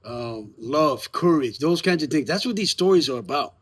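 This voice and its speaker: A man's voice: on the high side, expressive, normal speed, breathy edge. A man speaking as a theater maker in a casual promotional chat, informal and emphatic. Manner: informal, emphatic, lightly profane. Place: outdoors in the evening.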